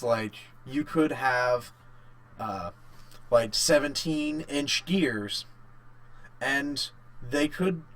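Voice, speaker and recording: A man co-hosting a casual podcast chat, thinking aloud in short, halting bursts. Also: mid-range voice, a bit bright, rough recording. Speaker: podcast co-host. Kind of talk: casual chat about games and work.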